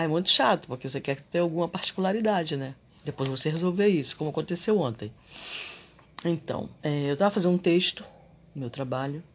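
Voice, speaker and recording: Man, husky and a bit high, reflective solo podcast musing. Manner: reflective and confessional, animated when irritated. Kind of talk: personal spiritual monologue.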